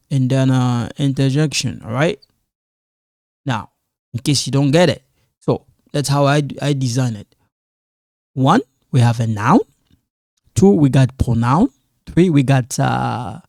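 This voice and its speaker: Slow, gravelly male English teacher. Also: fairly high pitch, a little mellow, no echo, clean studio sound. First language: not English. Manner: repetitive, checks in with "all right?". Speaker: ESL teacher. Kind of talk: casual classroom explanation.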